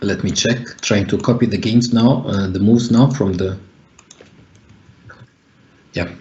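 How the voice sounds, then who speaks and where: A man's voice: on the low side, steady tone, slow pace, darkish tone, lo-fi sound, a little hiss or hum. Hesitant man commentating chess. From Egypt. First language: Arabic. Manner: thinking aloud, frequent hesitations. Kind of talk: live online chess commentary.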